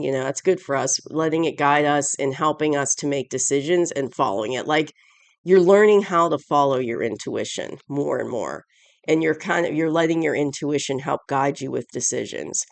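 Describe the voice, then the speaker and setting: A congested tarot reader talks through a weekly spread in a loose, rambling way, often circling back to fix a phrase. The voice is stuffy from allergies and a sore throat, with a gravelly fry at the bottom. The sound is close and dry, with no room around it. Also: mid-range voice, no room sound. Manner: rambling, repeats and corrects phrases. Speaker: tarot reader, channel host. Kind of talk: casual weekly tarot reading to camera.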